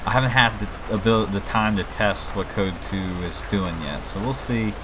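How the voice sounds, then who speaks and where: A man's voice: on the low side, normal speed. A man giving casual, informal let's play commentary, thinking aloud and talking to his viewers. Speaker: gaming video host and mod maker. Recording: clear game rain and traffic noise. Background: video game rain, vehicles and city ambience.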